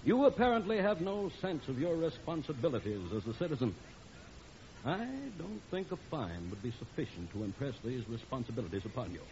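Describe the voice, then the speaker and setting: An actor playing a stern, lecturing court judge, formal and authoritative, with an American accent, in 1940s radio comedy. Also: male voice, mid-range voice, very animated, a little fast, a little mellow. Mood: reproving. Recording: muffled, noisy old radio recording.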